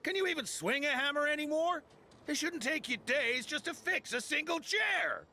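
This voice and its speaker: A high, gravelly, loud-mouthed townsman from a game. He is cantankerous and needling, with a sharp edge and animated pitch. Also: normal speed, a little hiss or hum, full character voice. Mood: mocking. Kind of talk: video game voice acting.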